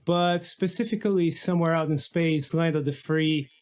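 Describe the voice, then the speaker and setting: A man's voice: a little high, fairly even intonation, moderate pace. A man from Brazil, a guest musician in a casual podcast interview, talking enthusiastically with many restarts and fillers.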